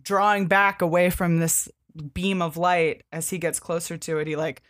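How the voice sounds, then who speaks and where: A woman with a fairly low voice talks as a podcast co-host. Her delivery is informal and keen, with casual swearing, as if chatting about comics with a friend. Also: flat delivery, normal speed, fairly bright tone. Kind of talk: casual comic book discussion.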